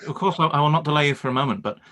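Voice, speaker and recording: A man acting a reserved, concerned gentleman in a period radio play, speaking formally and earnestly. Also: on the high side, very fast, lightly acted. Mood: alarmed, insistent.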